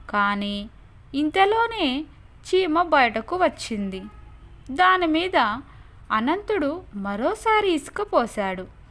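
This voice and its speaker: Slow, high-voiced woman storyteller. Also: a bit bright. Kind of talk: scripted story narration.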